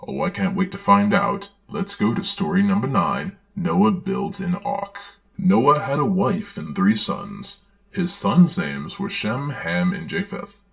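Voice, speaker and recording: Very deep, gravelly man reading theatrically. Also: sing-song, slow pace, a bit bright. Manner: theatrical, wry, sardonic. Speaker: host, storyteller. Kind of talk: dramatic reading with sarcastic commentary.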